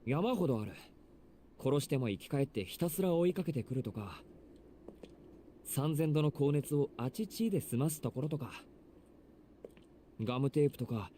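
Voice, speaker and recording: Man voicing a rapid-talking exasperated young man. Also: medium pitch, lively intonation, warm tone, a little hiss or hum, lightly acted. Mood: teasing, exasperated. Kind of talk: Japanese visual novel voice acting.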